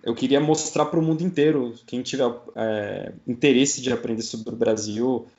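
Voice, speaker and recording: A man's voice: mid-range voice, normal speed, creaky, darkish tone, lo-fi sound. A man with a Brazilian accent talking casually as an interview guest. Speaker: guest, podcaster. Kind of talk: casual chat.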